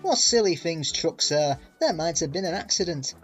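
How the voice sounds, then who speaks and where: A man voicing a proud young red steam engine, eager and excitable, for a storybook reading. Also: on the high side, normal speed, breathy edge, faint music behind, pitched above the performer's own voice.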